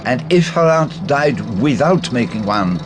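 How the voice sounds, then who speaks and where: Give this radio mystery a courteous, shrewd male detective played by an actor with a French-speaking European accent. His mid-pitched voice moves expressively as he questions in formal, careful phrases. There is clear background noise like a vehicle's rumble. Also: lively intonation, close to an everyday voice. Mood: probing, insistent. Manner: formal, deliberate, inquisitive phrasing. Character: shrewd, courteous foreign male private detective, probing and precise. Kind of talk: radio drama acting.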